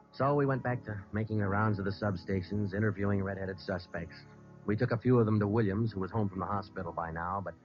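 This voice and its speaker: A man with an American accent playing a terse, deadpan police sergeant in an old-time radio crime drama, both narrating and questioning. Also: on the low side, close to an everyday voice. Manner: clipped, deadpan, matter-of-fact. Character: terse, businesslike male police sergeant narrating his case. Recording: muffled vintage radio recording with music.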